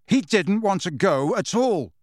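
A man's voice: sits high, wildly swooping pitch, a little slow, husky, dry recording, studio quality, voice pushed up, exaggerated voice. A man voicing a guarded, grieving teenage boy in a storybook reading, terse and deflecting. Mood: angry.

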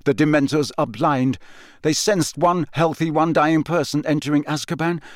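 A man's voice: a little high, steady tone, normal speed, no echo, clean studio sound, pitched above the performer's own voice, lightly acted. A man playing a dazed, coldly bitter young male prisoner who confesses in clipped, expressionless sentences. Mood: detached confession.

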